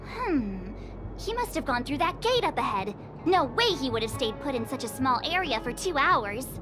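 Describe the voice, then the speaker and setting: A young woman voicing a playful, eager detective heroine in a game dub, bouncy and animated. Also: high-pitched, moderate pace. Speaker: player character. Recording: game dub over music.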